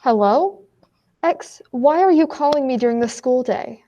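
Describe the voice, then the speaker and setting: Teen girl playing serious lady scientist in a radio play, explains stuff patiently, voice a bit high. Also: animated, a little slow, very noisy. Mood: firm. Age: teenager. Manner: explanatory, earnest. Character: earnest, patient female lab scientist with grave news. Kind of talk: school radio play acting.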